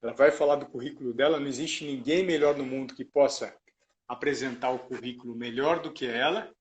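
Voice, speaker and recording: A man's voice: mid-range voice, fairly quick. A man, hosting a casual live chat in a friendly, chatty way. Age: 40s. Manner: friendly, chatty, laughs easily. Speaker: host.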